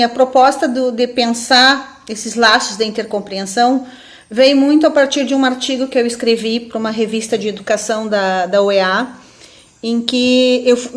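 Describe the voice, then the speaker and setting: Higher-voiced southern Brazilian woman lecturing informally. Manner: reflective, seeks agreement with frequent tag questions. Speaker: university professor, webinar speaker. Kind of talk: informal academic webinar talk.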